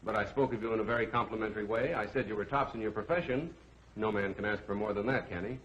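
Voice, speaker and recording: A man's voice: fairly low pitch, fast, a bit bright, lightly acted. Man acting a glib sleuth, muffled old film. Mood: smooth, unruffled. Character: suave, glib, unflappable male radio detective.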